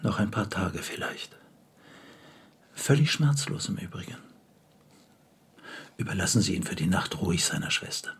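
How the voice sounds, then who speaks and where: An actor playing a sober, formal physician in a radio drama, speaking in clipped, detached clinical phrases. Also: male voice, low voice, lively intonation, moderate pace, pauses often, fairly bright tone, slightly rough, full character voice. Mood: clinical, hushed. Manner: clipped, formal, matter-of-fact.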